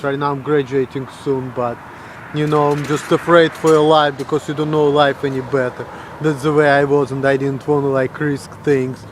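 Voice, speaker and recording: Young man, native Russian speaker, vlogger, rambling casual rant, fairly high voice, noisy recording with traffic behind. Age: 20s. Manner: rambling, frequent fillers and restarts.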